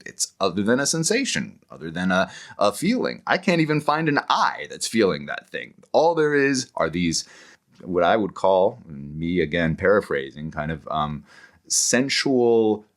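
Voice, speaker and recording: A man speaking as an interview guest, explaining ideas conversationally like an animated storyteller who acts out paraphrased quotes. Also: low-pitched, unhurried. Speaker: interview guest, author and scholar.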